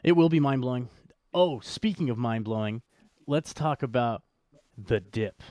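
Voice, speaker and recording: Male co-host, mid-pitched, very clear and dry-sounding, casual joking commentary. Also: expressive, unhurried, warm tone, dry recording. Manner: wry, joking. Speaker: co-host of a cryptocurrency show. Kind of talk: casual podcast banter and commentary.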